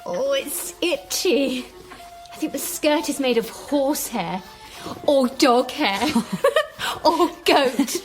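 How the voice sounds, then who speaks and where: A woman's voice: high-pitched, slow, faint music behind. An actress playing a theatrical, playful, restless young woman, teasing and complaining, in a radio drama. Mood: playful grumbling.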